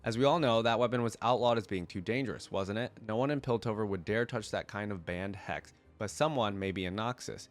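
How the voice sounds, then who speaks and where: Congested low-voiced man reading and commenting. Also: brisk, few pauses, faint music behind. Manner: rambling, thinking aloud in asides. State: congested from a cold. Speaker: reaction video host. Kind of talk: reading a story aloud with casual commentary.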